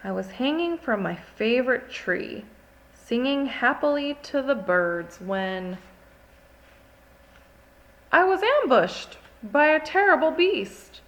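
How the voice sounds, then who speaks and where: A woman's voice: high voice, big pitch swings, slow pace, fairly bright tone, full character voice, low-quality recording. A woman voicing a haughty, indignant small furry forest creature in a storybook, telling its tale with dramatic grievance.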